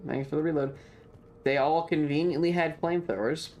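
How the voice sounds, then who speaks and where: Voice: medium pitch, steady tone, fairly quick, darkish tone, a little hiss or hum. A streamer from the southern United States giving casual video game commentary in a playful, mock-serious, rambling way. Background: game music.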